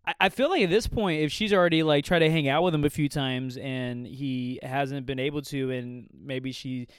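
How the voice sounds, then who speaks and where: A man co-hosting a casual advice podcast, talking in a rambling, halting way full of fillers and jokes. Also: mid-range voice, clear, resonant tone, no echo, clean studio sound. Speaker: podcast co-host.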